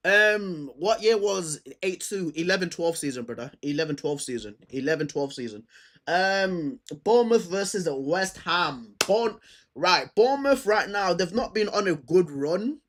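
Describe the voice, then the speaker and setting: A man's voice: high voice, animated, measured pace, fairly bright tone. A man with a stammer chatting casually and unscripted as he hosts a football preview, using slang and repeating himself as he thinks aloud. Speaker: football fan host previewing fixtures.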